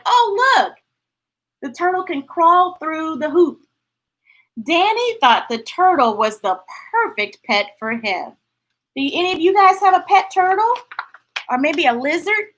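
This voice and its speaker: Female children's read-aloud host, high-pitched and very animated, unhurried, talking straight to young listeners. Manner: playful, breaking into sing-song and sound effects. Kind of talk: animated picture-book read-aloud with chatty asides.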